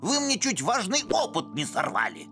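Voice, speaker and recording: With music behind, a studious cartoon boy inventor. The voice sits at a middling pitch but moves about animatedly, scholarly and earnest in manner. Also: wildly swooping pitch, normal speed, fairly bright tone. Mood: pedantic. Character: bookish, serious young boy pup inventor. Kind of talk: animated children's series voice acting.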